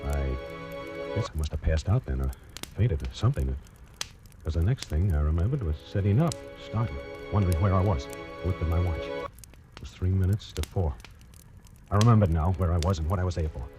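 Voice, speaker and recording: An actor playing a tense, desperate man who narrates his own murder plot in an old radio thriller, halting and suspenseful. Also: low-pitched, animated. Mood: dazed, tense. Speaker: first-person narrator and lead actor. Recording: old radio recording, loud dramatic music.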